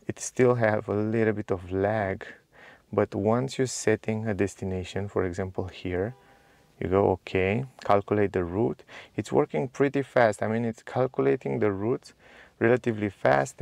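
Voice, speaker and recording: Gravelly low-voiced man showing a car. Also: a little mellow, dry recording. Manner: chatty, addresses viewers as guys, simple non-native phrasing. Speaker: car reviewer. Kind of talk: casual walkthrough to camera. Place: inside a parked car.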